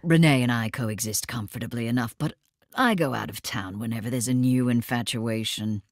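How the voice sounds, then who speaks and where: An actress playing a worldly, wry and jealous lover in an audio drama, blunt and sardonic in manner. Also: female voice, rumbling low, moderate pace, no room sound, clean studio sound. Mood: wry, resigned.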